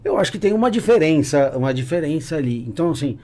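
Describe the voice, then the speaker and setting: Mellow-voiced Brazilian man telling stories. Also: fairly high pitch, very animated, fast talker. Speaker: podcast guest, natural food store entrepreneur. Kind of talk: casual business conversation.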